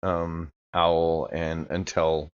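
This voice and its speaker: A man's voice: rumbling low, level tone, drawn-out words, smooth, no echo. A man chatting casually as a player in a tabletop role-playing game, on a slightly dull recording. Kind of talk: casual improvised role-play chat.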